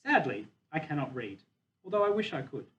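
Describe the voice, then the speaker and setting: A man voicing a proud, wistful, dignified captive silverback gorilla in a measured, deliberate storybook reading. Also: on the high side, big pitch swings, a bit bright. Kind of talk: children's novel read aloud in first person. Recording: dull, distant mic with faint noise.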